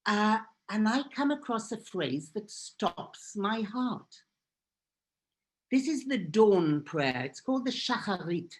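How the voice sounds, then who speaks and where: A woman's voice: mid-range voice, lively intonation, takes it slowly. A woman from Israel whose first language is Hebrew, giving an earnest, emphatic personal talk as a guest speaker. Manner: earnest and emphatic, repeating phrases for force.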